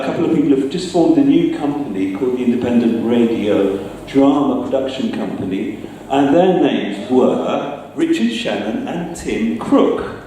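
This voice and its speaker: A middle-aged man giving an informal talk, speaking hesitantly with pauses mid-phrase. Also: mid-range voice, warm tone. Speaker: sound designer giving a talk. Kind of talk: informal public talk with slides. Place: large echoey room, speaking to an audience. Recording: distant, very echoey room with some noise.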